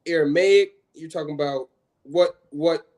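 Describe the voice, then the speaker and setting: A man's voice: fairly high pitch, measured pace, darkish tone. A man in his twenties chatting casually on a livestream in a laid-back way, full of slang. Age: 20s.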